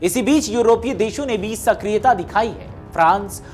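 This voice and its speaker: A woman reading scripted news narration in a formal, measured newsreader delivery. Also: medium pitch, a little mellow. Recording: music behind the voice. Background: background music.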